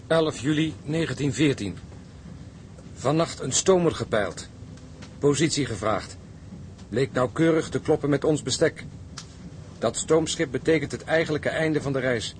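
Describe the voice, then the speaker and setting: Actor as terse ship's officer, rumble behind. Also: male voice, unhurried, pauses often, natural voice. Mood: matter-of-fact. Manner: terse, clipped log-entry sentences. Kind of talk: naturalistic period drama narration and dialogue. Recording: engine rumble and ambient noise.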